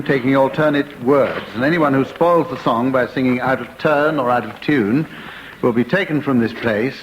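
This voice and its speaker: Noisy archive radio sound, man, panel game host, mid pitch, mock-formal, wordy. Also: dull audio. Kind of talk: dry comic hosting of a radio panel show. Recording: noisy old live radio recording.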